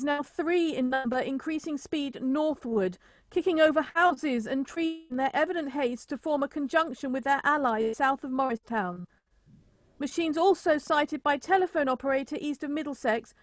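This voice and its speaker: A woman playing a grave radio news announcer, reading emergency bulletins in a formal, measured way for a radio play. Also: high voice, no room sound, close to an everyday voice.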